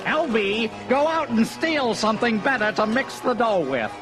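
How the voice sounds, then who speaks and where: A man's voice: very high-pitched, sing-song, moderate pace, over loud music. A man voicing a greedy, bossy little troll villain with a fussy, exasperated manner in a children's story. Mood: fussy, impatient. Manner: fussy, exasperated, bossing an underling. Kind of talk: children's story cassette voice acting.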